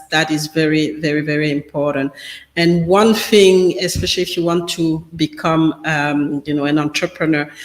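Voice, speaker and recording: A man whose first language is French, answering interview questions in a halting way, full of fillers and restarts. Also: fairly high pitch, roomy sound, rough recording. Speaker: business guest speaker.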